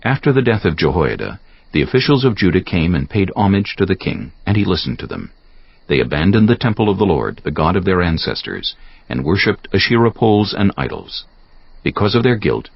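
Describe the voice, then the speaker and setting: A man with a gravelly voice giving measured, solemn narration for a dramatized audio Bible. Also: low voice, no room sound. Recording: dull audio with soft music behind. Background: soft background music.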